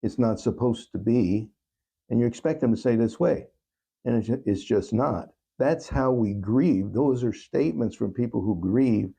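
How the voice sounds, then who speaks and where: A man's voice: medium pitch, normal speed, vocal fry, warm tone, husky. A man speaking earnestly as an interview guest, reflecting on his songwriting and repeating phrases for emphasis. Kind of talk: reflective interview talk about own songwriting.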